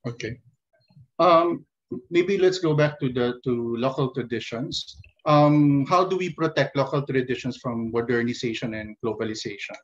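A man in his thirties with a Filipino accent, hosting an interview and putting measured questions over a video call headset. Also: mid-range voice. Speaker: host and interviewer. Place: indoor room at home or office, video call. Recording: dull lo-fi video call audio.

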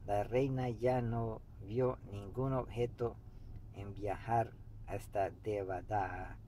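Slow, halting man reading aloud. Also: on the low side, low-quality recording. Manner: halting, pauses mid-sentence, clears throat. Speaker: amateur reader of a book. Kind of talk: reading a book aloud, meditatively. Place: inside a parked car by a street. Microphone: camera an arm's length or so away. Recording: steady background noise inside a car.

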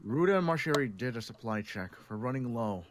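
A man's voice: medium pitch, lively intonation, fairly quick, crowd noise, natural voice. A man playing a level-headed, take-charge survivor who mediates in a game roleplay. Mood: firm.

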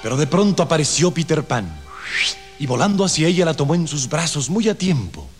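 A man's voice: fairly high pitch, very animated, brisk. A man with a Mexican accent narrating a scripted children's story on an old 78 rpm record. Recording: vintage 78 rpm record, music behind.

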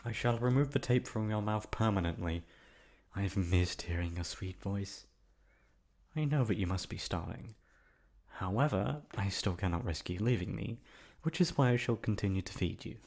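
A man's voice: lowish voice, expressive, normal speed, creaky, darkish tone. A man playing a possessive, lonely young prince in an ASMR roleplay, speaking in formal, stilted phrases to the lover he holds captive. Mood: tender, controlling. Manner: formal, stilted diction, swinging from tender to commanding.

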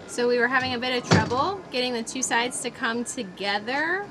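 Higher-pitched American woman, casual vlogger. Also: moderate pace. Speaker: sailing vlog co-host. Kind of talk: casual chat. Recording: ambient noise behind voice.

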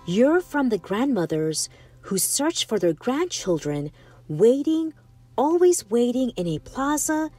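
A woman narrating a children's picture book as a storytime host, with expressive, deliberate phrasing. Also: mid-range voice, recorded from a distance. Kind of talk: scripted picture-book read-aloud. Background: music.

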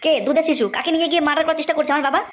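A woman's voice: sits high, fairly even intonation, racing, a bit bright, slight background noise. A woman voicing a captive young woman, someone's daughter, who protests and pleads in a comic cartoon. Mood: disbelieving.

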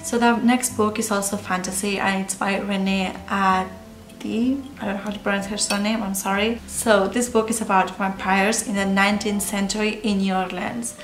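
A woman's voice: medium pitch, flat delivery, normal speed. A young woman from Spain with a Spanish accent, chatting casually about books on camera in a small room at home. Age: young adult. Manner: chatty, gestures a lot with her hands. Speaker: book vlogger. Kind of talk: casual chat. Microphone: camera or laptop mic facing her. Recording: roomy echo with music underneath.

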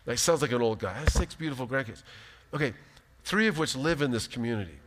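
A pastor in his fifties giving an animated, emphatic sermon from a church stage. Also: medium pitch, quick pace. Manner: animated, emphatic preaching with big gestures. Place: large church stage, indoors. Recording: long hall reverb.

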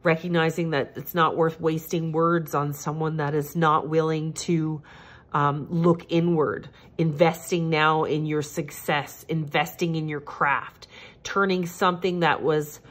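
A woman with a low voice gives a tarot reading at her table at home. She sounds congested and a little nasal, and she speaks in a gentle, reassuring way that circles back over the same points. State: congested, stuffed-up nose. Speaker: tarot reader. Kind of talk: casual tarot reading monologue.

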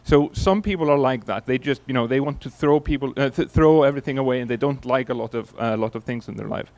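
A man in his forties from Iceland with an Icelandic accent, giving a relaxed conference keynote into a handheld mic, telling stories with many fillers and restarts. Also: mid-range voice, fast. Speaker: keynote speaker. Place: large conference hall on stage. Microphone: handheld microphone.

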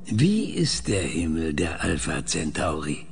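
A man playing a methodical, probing researcher in a science fiction audio drama, questioning in a measured, clinical way. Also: rumbling low, wildly swooping pitch, creaky, faint music behind, full character voice.

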